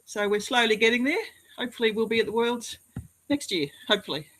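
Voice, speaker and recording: An Australian woman, a rowing athlete, answering interview questions in a relaxed, modest way with some hesitation. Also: a little high, quick pace, rough recording.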